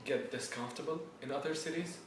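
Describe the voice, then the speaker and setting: A man's voice: on the high side, quick pace. A young man in his early twenties from Syria, answering interview questions hesitantly with many fillers. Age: young adult. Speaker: interviewee, student. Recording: echoey room, distant mic, background noise.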